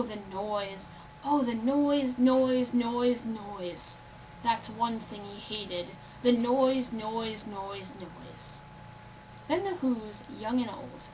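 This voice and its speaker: A woman's voice: on the high side, a little mellow, lo-fi sound. A young adult woman talking informally and playfully, as in a homemade video chat or storybook read-aloud. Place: indoors, recording on a personal computer. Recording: noisy home computer recording.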